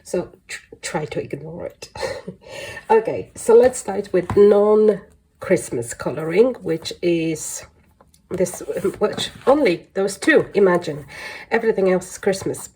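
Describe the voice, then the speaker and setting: A woman's voice: fairly low pitch, expressive, slow, darkish tone, breathy edge, poor audio. A woman with a congested voice chatting casually through a show-and-tell hobby vlog, rambling and a little hesitant. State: congested from flu. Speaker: colouring hobby vlogger.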